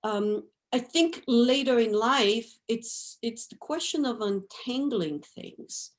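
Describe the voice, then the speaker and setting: A woman's voice: on the high side, animated, slow. A woman, a guest artist talking reflectively about her life, often adding "right?" and "you know". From France. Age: 50s. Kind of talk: reflective interview talk.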